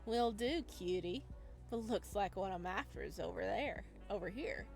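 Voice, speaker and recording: A woman's voice: medium pitch, animated, moderate pace, vocal fry, soft background music. A woman voicing a flirtatious, playful cowboy character in a visual novel, teasing as he talks. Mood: flirty teasing. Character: flirtatious, teasing purple-clad male cowboy.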